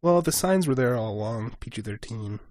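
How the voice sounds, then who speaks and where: A man co-hosting a podcast in casual chat, animated and acting out quick asides. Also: medium pitch, no echo, clean studio sound. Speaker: podcast co-host.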